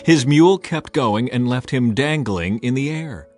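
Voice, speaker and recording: A man reading scripture as a dramatized audiobook narration, measured and emphatic in his storytelling. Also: mid-range voice, lively intonation. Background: music under the voice.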